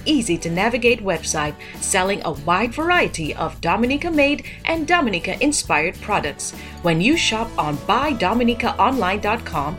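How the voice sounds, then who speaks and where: Woman reading a radio ad over music. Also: mid-range voice. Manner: bright, persuasive sales delivery. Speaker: ad announcer. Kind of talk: scripted radio ad read. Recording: voice over clear music bed.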